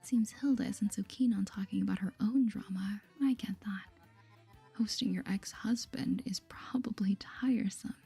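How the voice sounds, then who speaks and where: A woman hosting a soft-spoken ASMR game playthrough in a breathy whisper, reacting playfully and gossipily to the story. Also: medium pitch, normal speed, faint music behind. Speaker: gaming video host.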